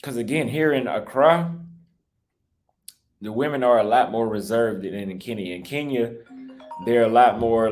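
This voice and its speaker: Lowish-voiced man rambling on a livestream. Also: darkish tone. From United States. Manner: rambling, defensive, frequent restarts. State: voice slightly cracked. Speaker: travel YouTuber live-streaming. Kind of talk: casual livestream talk reading super chats.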